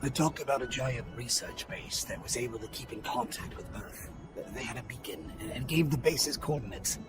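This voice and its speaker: An actor playing a battered, guilt-ridden captive in a game cutscene, speaking in a halting, pained, breathy voice that can sink to a whisper. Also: male voice, medium pitch, music in the background, close to an everyday voice. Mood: pained, ashamed. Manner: halting, pained delivery with repeated words. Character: battered, guilt-ridden male captive confessing after torture. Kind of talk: video game cutscene acting.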